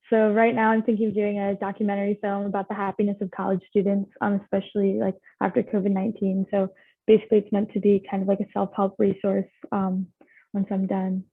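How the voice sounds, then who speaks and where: Gravelly young woman on a tinny line. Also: mid-range voice, dry recording. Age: young adult. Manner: rambling, with constant "like"s. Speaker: student panelist. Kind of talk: casual panel chat on a video call.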